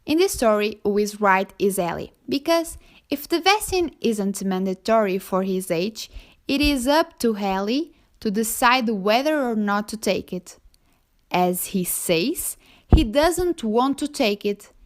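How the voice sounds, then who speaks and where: A young female student with a Portuguese accent delivers a prepared statement of opinion. Her voice is on the high side. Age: teens.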